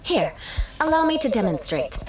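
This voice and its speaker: A woman's voice: high-pitched, big pitch swings, normal speed, echoey room, distant mic, a crowd in the background. A woman playing a proud, vengeful young aristocratic knight in a video game, with formal, precise, haughty speech. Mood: lecturing.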